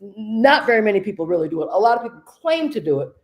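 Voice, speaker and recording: A woman hosting a conversation, a medium telling stories in a relaxed, discursive way. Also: medium pitch, quick pace. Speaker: host and trance medium. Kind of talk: casual conversation.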